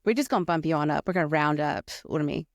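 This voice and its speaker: A woman's voice: deep voice, quick pace, dry recording, clean studio sound. A woman hosting a podcast in a casual conversational interview style. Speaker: podcast host.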